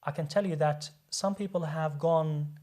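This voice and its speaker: A man's voice: fairly high pitch, fairly even intonation, a little slow, darkish tone. A man who works as a doctor, speaking as a guest in a studio discussion and explaining his points earnestly, often repeating words as he restarts a sentence.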